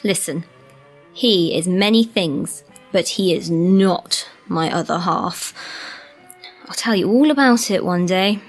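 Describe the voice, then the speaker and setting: A woman's voice: fairly low pitch, lively intonation, slow pace, faint music behind, full character voice. A woman acting in an amateur radio play as a kind, stoical care worker with a reassuring, familiar manner.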